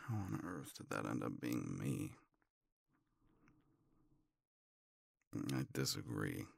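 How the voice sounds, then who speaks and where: Very deep, gravelly man acting a tender boyfriend. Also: sing-song, no echo, exaggerated voice. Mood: teasing, soft. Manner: halting, murmured, playful. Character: tender, teasing older boyfriend the morning after. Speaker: audio roleplay voice actor. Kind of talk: intimate romance ASMR roleplay.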